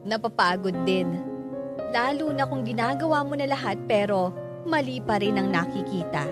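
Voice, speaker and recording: A woman's voice: medium pitch, natural voice. Sorrowful young daughter narrating , played by a woman. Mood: weary. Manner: sorrowful, slow, reflective confiding. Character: hurt young woman, weary daughter narrating her estrangement. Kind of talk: radio drama first-person narration. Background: clear music under the voice.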